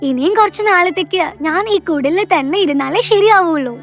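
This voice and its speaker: A woman's voice: very high-pitched, a little slow, soft background music. A woman voicing a poor orphaned village girl in a children's moral story, plaintive and earnest. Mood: resolute.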